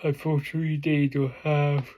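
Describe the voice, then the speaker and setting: A man talking casually through an unboxing vlog, halting with many fillers and restarts, praising what he shows. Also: on the high side, low-quality recording. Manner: plain enthusiastic praise in a flat delivery. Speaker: vlogger.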